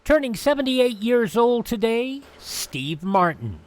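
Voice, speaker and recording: A man's voice: very high voice, big pitch swings, slow pace, over loud music. A man hosting a radio show, reading through an almanac in an announcer-like way with casual asides. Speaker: radio host.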